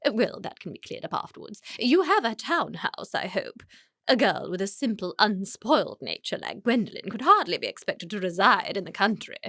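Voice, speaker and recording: A woman's voice: mid-range voice, very animated, moderate pace, fairly bright tone, dry recording, studio quality. A woman playing an imperious, snobbish older aristocrat with an upper-class English accent in a comic period radio play. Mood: disdainful, probing. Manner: haughty, crisply judgmental, theatrical.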